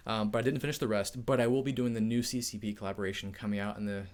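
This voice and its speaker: A man's voice: on the low side, steady tone, rapid-fire, darkish tone. A man hosting a casual live-stream Q&A, upbeat and chatty, laughing often, feeling hot. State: overheated. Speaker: tech YouTuber hosting a live Q&A.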